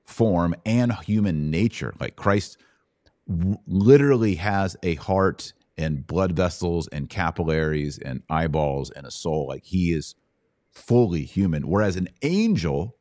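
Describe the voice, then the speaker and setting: Low-voiced man teaching informally. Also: expressive, measured pace, darkish tone, dry recording, studio quality. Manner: explanatory, colloquial, emphatic repetition. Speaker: podcast host. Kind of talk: informal Bible question-and-answer teaching.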